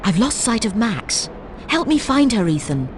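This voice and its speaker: An actress playing a brisk, cautioning spy teammate who gives emphatic instructions in a video game. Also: female voice, fairly high pitch, big pitch swings, over music. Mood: urgent, cautioning.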